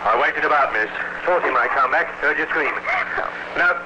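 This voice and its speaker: Protective sergeant man acting, gruff radio-drama delivery in a fairly high male voice, heavy background noise. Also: normal speed, dull audio, close to an everyday voice. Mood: worried, insistent. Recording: very noisy old radio recording.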